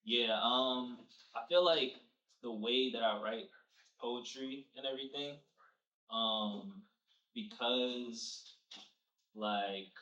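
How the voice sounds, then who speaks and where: A man's voice: medium pitch, slow, long pauses, sharp tone, muffled recording. Laid-back young man, distant echoey mic. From Nigeria, raised in New Jersey. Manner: laid-back, slangy, laughs easily. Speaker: rapper guest. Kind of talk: casual interview chat. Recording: distant mic, roomy echo.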